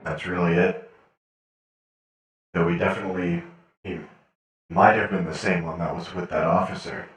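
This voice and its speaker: A man playing a menacing captured cyborg assassin in a sci-fi game role-play, terse, cold and deadpan. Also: deep voice, normal speed, long pauses, very echoey, distant mic, low-quality recording. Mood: terse, guarded.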